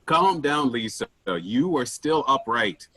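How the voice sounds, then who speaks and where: A man's voice: medium pitch, expressive, takes it slowly, bright, forceful tone, husky, no echo, exaggerated voice. A man playing an indignant, sarcastic, commanding office team leader in a staged play reading. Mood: dismissive.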